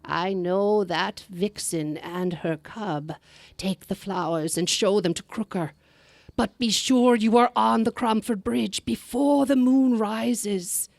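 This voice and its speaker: Folktale crone acted by a female storyteller: mysterious, kindly, slow foreboding delivery, dry sound. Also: mid-range voice, vocal fry, a little mellow, dry recording. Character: mysterious kindly old woman giving warnings.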